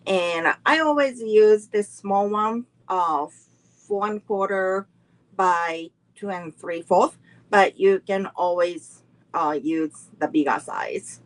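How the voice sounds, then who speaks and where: Woman, middle-aged, card-making instructor, very slow unhurried delivery, chatty live-class teaching. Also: medium pitch, rough recording. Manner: slow, unhurried, greets viewers and chats between steps. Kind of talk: live online craft class.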